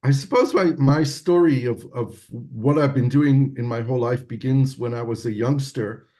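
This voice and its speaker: A man in his sixties with an American accent, a guest on a video call, telling his story in a measured, reflective way with some hesitations. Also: mid-range voice, warm tone. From United States. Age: 60s.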